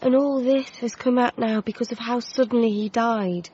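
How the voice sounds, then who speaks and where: A woman's voice: fairly high pitch, fairly even intonation, normal speed, mellow, breathy edge, lo-fi sound. A woman acting a concerned, practical figure who reasons insistently with a troubled man in a radio drama. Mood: firm, concerned.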